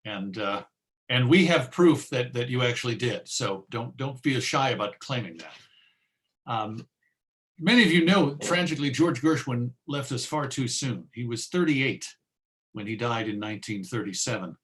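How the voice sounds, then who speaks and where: A man giving an informal lecture as presenter, with a conversational, halting delivery. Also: mid-range voice, animated, fairly bright tone. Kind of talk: informal audio-visual lecture.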